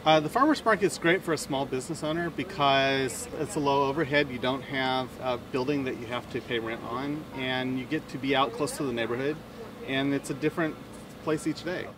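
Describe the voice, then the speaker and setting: A man's voice: mid-range voice, brisk. A man who runs a coffee and barbecue stall, talking about his small business with frequent fillers. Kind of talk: interview answers. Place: outdoor market stall. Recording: distant mic, outdoor noise.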